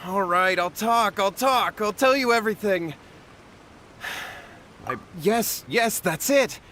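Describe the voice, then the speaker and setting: A man's voice: sits high, lively intonation, slow pace, pushed and bright, slight background noise, heavily acted. A man playing a nervous, cowardly young man in a video game, hesitant, flustered and pleading. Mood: pleading, confessing. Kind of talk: video game character acting.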